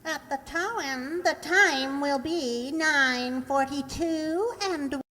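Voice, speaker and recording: A woman's voice: high voice, slow pace, sharp tone, heavily acted. A woman playing a formal telephone time operator reciting an announcement in an old-time radio comedy. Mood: formal, singsong. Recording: noisy, distant, echoey hall sound.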